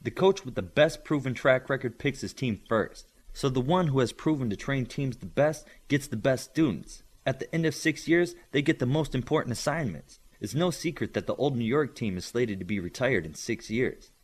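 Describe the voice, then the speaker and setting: A man voicing a smart, assertive, chatty teenage girl in an audiobook, explaining things briskly and matter-of-factly. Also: mid-range voice, animated, lightly acted. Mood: eager, informative.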